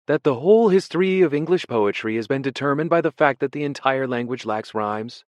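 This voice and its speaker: A man's voice: mid-range voice, animated, brisk, no room sound, pitched above the performer's own voice, natural voice. Man putting on a fussy, vague pedant prisoner. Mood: bewildered, pedantic. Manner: vague, fussy and faintly indignant. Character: nervous, pedantic older male poet prisoner.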